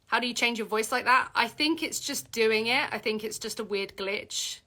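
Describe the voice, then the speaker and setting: A woman's voice: moderate pace, bright, forceful tone. A woman hosting a casual livestream Q&A, reading out viewer comments and answering with many fillers and restarts. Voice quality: deep voice for a woman.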